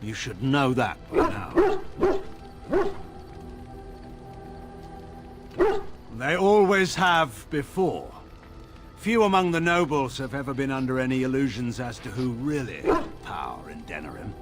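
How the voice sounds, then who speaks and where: A proud middle-aged military man from a fantasy game, acted by a man, stern and weary. His speech is grave and formal with a dry edge, and his mid-pitched voice rises and falls widely. Music plays behind him. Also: sing-song, lightly acted. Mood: firm, proud. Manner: grave, measured, formal, dryly sardonic. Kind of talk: dramatic fantasy game dialogue.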